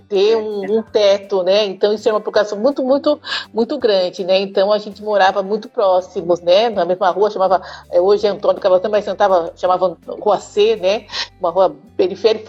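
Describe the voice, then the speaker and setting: A woman's voice: medium pitch, darkish tone, highs cut off. A middle-aged woman with a São Paulo Brazilian accent, telling her own story informally as an interview guest, often ending phrases with a checking tag. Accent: São Paulo Brazilian Portuguese. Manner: frequent "né?" tags, informal. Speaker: podcast guest, diversity speaker. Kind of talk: conversational personal storytelling in an interview.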